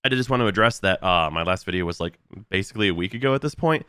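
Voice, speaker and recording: A man's voice: low-pitched, expressive, racing, bright, forceful tone, clear, resonant tone, no room sound, clean studio sound. A young man with a stuffy, nasal voice from a recent illness, casually talking through a game update with frequent fillers. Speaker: gaming video creator.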